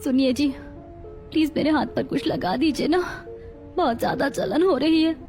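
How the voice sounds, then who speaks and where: Tormented young wife for an audio moral tale, female actor: high-pitched, timid, pleading. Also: normal speed, a little mellow, soft background music. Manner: pleading, submissive. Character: meek, tormented young daughter-in-law, pleading and fearful. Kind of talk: dramatized moral story.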